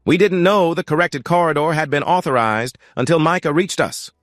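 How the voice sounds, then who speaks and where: A woman's voice: low-pitched, normal speed, dry recording, studio quality, voice pushed up, close to an everyday voice. A man voicing a composed female shelter leader, speaking in a firm, matter-of-fact way.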